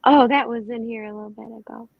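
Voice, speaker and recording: A woman in middle age with a youthful, slightly high voice tells long, rambling stories in relaxed chat. Her audio comes through a dull, lo-fi stream with a very dry, close sound. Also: fast talker, gravelly, dry recording. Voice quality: young-sounding voice. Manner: chatty, rambling storyteller. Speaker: panel guest. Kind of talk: casual chat on a live stream. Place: indoors at home. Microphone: computer mic on a video call.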